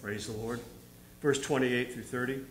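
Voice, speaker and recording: A man's voice: medium pitch, steady tone, normal speed, a little hiss or hum. A middle-aged man preaching a sermon from a pulpit, reading scripture aloud and building in fervor. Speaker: pastor. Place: church stage at a wooden pulpit. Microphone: pulpit microphone. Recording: roomy church hall sound.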